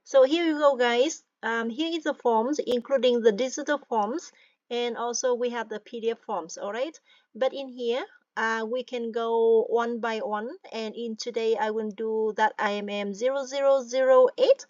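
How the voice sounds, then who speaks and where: A woman's voice: on the high side, fairly even intonation, moderate pace, a little mellow, dry recording, dull audio. A woman with a Vietnamese accent, a native Vietnamese speaker, talking through an online form step by step as a tutorial presenter, often checking in with "all right?" and "guys". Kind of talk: screen-recorded form walkthrough.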